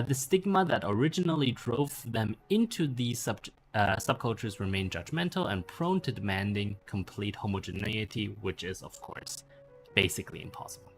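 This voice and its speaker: Man reading an essay with lively intonation. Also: lowish voice. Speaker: narrator reading a bedtime-story style essay. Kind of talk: scripted narration read aloud.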